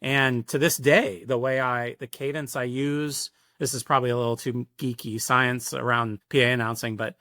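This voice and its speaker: A man's voice: mid-range voice, little pitch movement, moderate pace, hardly any pauses, pushed and bright, no echo, clean studio sound. A middle-aged American man, a sports announcer, telling stories in a casual, reflective interview with hesitant, conversational phrasing. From Sacramento, California, USA. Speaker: sports public address announcer, podcast guest.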